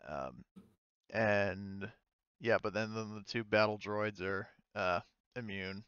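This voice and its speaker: A man acts as game master for a tabletop roleplaying session. His voice is clear and mid-pitched, recorded dry and a little muffled. He talks casually, feeling his way through rulings and swearing freely. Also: slow pace, fairly bright tone, no room sound.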